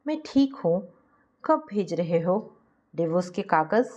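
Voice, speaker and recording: A woman's voice: lowish voice, very animated, a little slow, a little mellow, dull audio. Hurt wife, put on by a woman narrator, curt and suspicious, family drama story.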